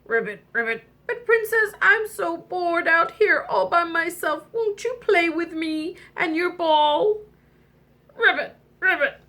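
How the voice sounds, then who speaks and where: A woman voicing a lonely, kindly male storybook frog who croaks and draws out his words. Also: very high-pitched, animated, bright, forceful tone, pitched above the performer's own voice, exaggerated voice. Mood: lonely, pleading. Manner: croaks "ribbit", slow drawn-out words.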